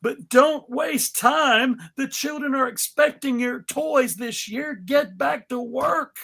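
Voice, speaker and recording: A demanding old man in charge of a toy workshop acted out by a reader, pitched up and bright. He is brisk and bossy, in the style of a comic story read aloud. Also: vocal fry, heavily acted. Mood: bossy praise.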